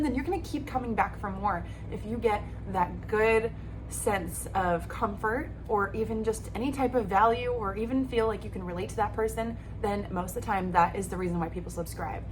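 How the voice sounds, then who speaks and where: A woman's voice: mid-range voice, poor audio. A woman in her thirties giving upbeat, encouraging advice on a vlog, speaking straight to the viewer and losing her voice a little. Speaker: YouTube creator.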